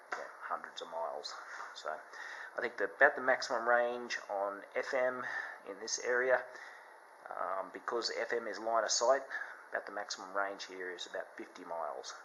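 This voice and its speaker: A man with a very deep but bright, forward voice talks through a product demonstration. His delivery is casual and unscripted, explaining in a halting manner as he goes. There is faint noise behind him. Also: poor audio. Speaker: product reviewer.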